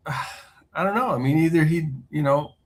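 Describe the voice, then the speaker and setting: A man's voice: on the high side, a little slow. Easygoing man with laughing asides. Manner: easygoing, laughs often, trails off. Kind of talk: casual sports chat.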